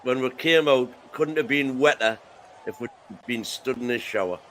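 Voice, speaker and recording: A man's voice: mid-range voice, normal speed, a bit bright, poor audio. A middle-aged man, co-hosting casual match chat with dry, joking asides. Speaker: co-host.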